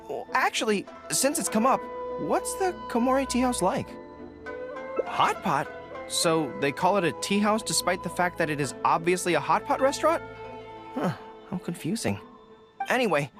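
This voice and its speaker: Woman as earnest young male game general, lively pitch. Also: moderate pace, long pauses, over music, lightly acted. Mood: curious, puzzled. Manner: earnest, polite, thinking aloud. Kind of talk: video game voice acting.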